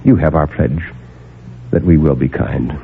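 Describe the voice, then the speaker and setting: An actor playing a calm, superior superhuman guardian, speaking with measured, lofty condescension in a 1950s radio drama. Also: male voice, a bass voice, vocal fry, a little hiss or hum, heavily acted. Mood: calm, condescending. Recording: narrowband old AM radio recording.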